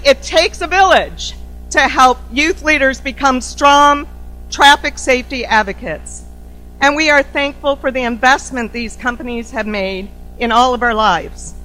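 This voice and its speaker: A woman giving a formal welcome speech as head of the organizing group, with a loud, upbeat voice, reading thanks and introductions at a podium in a conference room. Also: high voice, rough recording. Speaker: CEO opening a summit.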